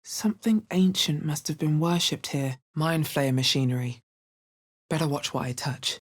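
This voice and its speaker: A woman playing a wary, wry female adventurer in a fantasy video game, with a bassy, husky voice and a measured, understated delivery. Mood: wary, musing. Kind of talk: video game character voice lines.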